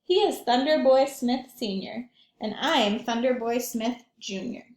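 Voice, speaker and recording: Earnest, determined little storybook boy, voiced by a woman, high pitch, emphatic delivery, echoing room. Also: measured pace, darkish tone, natural voice. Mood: proud, eager. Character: spirited young boy longing for his own name. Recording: echoey room.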